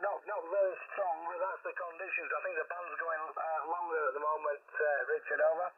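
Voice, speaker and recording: A man's voice: fairly high pitch, steady tone, brisk, dry recording, phone-quality sound. A man chatting cheerfully on an amateur radio contact. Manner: cheerful, chatty, calls people "mate". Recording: distant radio signal, phone-thin with hiss.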